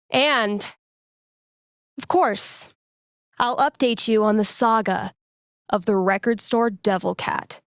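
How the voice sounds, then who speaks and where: A woman's voice: fairly high pitch, clear, resonant tone, dry recording, studio quality. A woman playing a wry young radio DJ and playful fortune-teller in a video game, speaking in a casual, teasing storyteller's way. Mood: upbeat showmanship. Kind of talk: video game voice acting, conversational second-person storytelling.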